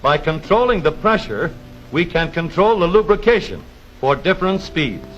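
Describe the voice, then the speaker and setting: A man narrating a scripted 1930s educational film in a measured, formal announcer's delivery with precise, explanatory phrasing. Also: a little high, sing-song, fairly bright tone. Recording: dull vintage 1930s film soundtrack, music behind.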